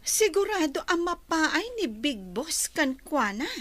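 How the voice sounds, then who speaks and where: An actress playing a concerned, questioning woman in a radio drama, with a husky, expressive voice. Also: high-pitched, unhurried, no room sound, exaggerated voice. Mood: doubtful.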